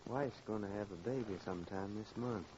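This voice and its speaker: A man's voice: lowish voice, brisk, close to an everyday voice. An actor playing a gloomy, terse man stranded on an expedition in an old 1940s radio drama. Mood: grim, resigned. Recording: muffled old radio recording, faint noise.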